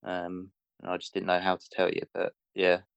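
Low-pitched man, casual storyteller. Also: completely flat delivery, normal speed, creaky, clear, resonant tone, no room sound, highs cut off. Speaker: interviewee, friend of the interviewer. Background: guitar music.

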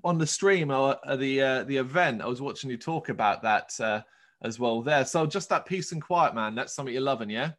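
A man's voice: medium pitch, expressive, fairly quick, a bit bright. A man hosting a music podcast, moving between introduction and interview chat. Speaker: podcast host.

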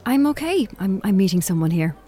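A courteous young woman played by an actress, with medium pitch and very mobile intonation. She is guarded and a little hesitant, in the manner of audio drama dialogue. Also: very animated, a little fast, warm tone, faint music behind, close to an everyday voice. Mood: politely evasive. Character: polite, guarded young woman on a first date.

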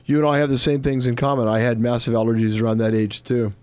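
A man's voice: medium pitch, little pitch movement, quick pace, background noise. A man hosting a radio interview show, upbeat and repeating his questions as he steers the talk. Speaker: radio host.

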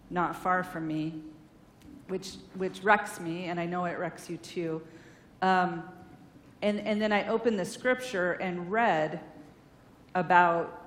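Woman guest speaker, chatty and earnest, talking at a church over a mic; roomy hall sound with a faint rumble. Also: a bit bright. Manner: chatty, earnest, many fillers. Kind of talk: informal sermon-style talk.

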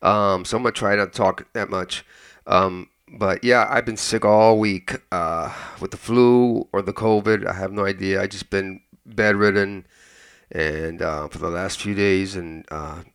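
Hoarse man hosting a DJ mix show. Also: deep voice, measured pace. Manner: relaxed and conversational, with many ums and uhs. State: hoarse, recovering from illness. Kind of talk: casual radio-style mix show talk.

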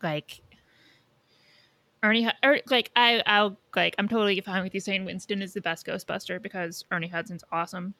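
A woman's voice: medium pitch, fairly even intonation, normal speed, pushed and bright, dry recording. A woman co-hosting a podcast, debating films casually in a blunt, argumentative, sweary way. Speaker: podcast co-host.

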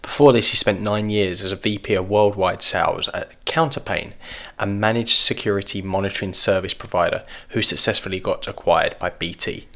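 A man's voice: low voice, normal speed, a bit bright. A man announcing a podcast intro in a scripted, upbeat way.

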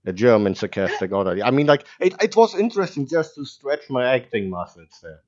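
A man's voice: mid-range voice, sing-song, a little fast, a little mellow, lo-fi sound. A man hosting a casual podcast interview, easygoing, laughing along and teasing his guest. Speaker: podcast host.

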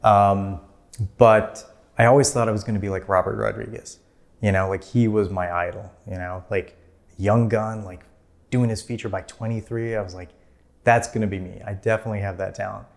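Thirties male filmmaker guest, lower voice, loose chatty delivery full of fillers. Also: steady tone, normal speed, creaky. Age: 30s. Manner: rambling, many "like" fillers, laughs easily. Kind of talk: casual interview storytelling.

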